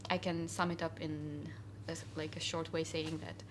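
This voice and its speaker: Young woman from syria chatting in a cafe, lowish voice, lots of uh and like, faint room hum. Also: little pitch movement, moderate pace. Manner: hesitant, many fillers, earnest. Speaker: interview guest. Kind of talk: casual conversational interview. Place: cafe, indoors.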